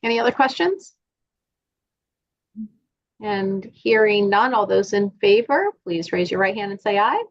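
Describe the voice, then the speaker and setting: A woman chairing a formal board meeting. She calls votes in brisk, procedural phrases. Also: medium pitch, animated. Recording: dull, lo-fi sound.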